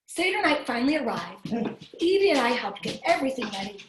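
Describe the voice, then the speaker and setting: A woman reading a storybook aloud to young children in an animated, expressive way, breaking off to chat with them. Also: high-pitched, a little fast, noisy background. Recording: roomy, echoing livestream sound.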